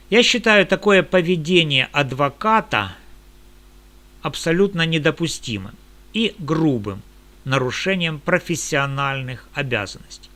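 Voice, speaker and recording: A man who works as a lawyer, giving a measured, indignant monologue in formal legal language. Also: on the high side, sharp tone.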